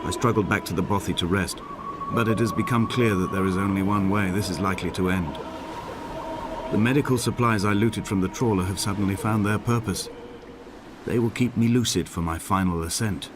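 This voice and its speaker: An actor playing a lonely, injured castaway who narrates a game in grave, measured, poetic monologue. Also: male voice, low-pitched, background noise, natural voice. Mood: pained, resigned. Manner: solemn, measured, literary phrasing. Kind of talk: scripted dramatic monologue, literary narration. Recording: loud music behind the voice. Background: music.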